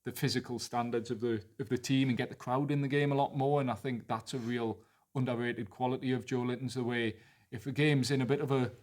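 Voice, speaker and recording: A man's voice: medium pitch, fairly even intonation, brisk, darkish tone. A man with a Geordie accent co-hosting a football podcast, talking through team news fluently and thinking aloud. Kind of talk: casual football analysis chat.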